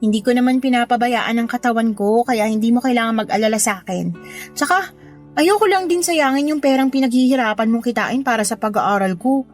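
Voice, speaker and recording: A woman acting as a devoted kid sister and student in a radio drama. Her voice is on the high side and sincere, with soft music underneath. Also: a little fast, natural voice. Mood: grateful, reassuring. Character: grateful, devoted younger sister, a diligent student. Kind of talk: Tagalog radio drama dialogue.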